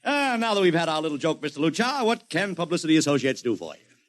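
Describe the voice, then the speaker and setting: A man's voice: fairly high pitch, sing-song, fast, no room sound. An actor playing a brash, wisecracking, money-hungry publicity boss with an American accent and slangy salesman patter in a 1950s radio drama. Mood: amused, sardonic. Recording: vintage 1950s radio recording, dry studio.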